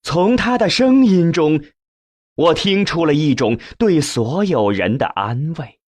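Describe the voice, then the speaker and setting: A man narrating an audiobook in a scripted, dramatic storytelling style with measured, deliberate phrasing. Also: on the high side, animated, a little mellow, no echo.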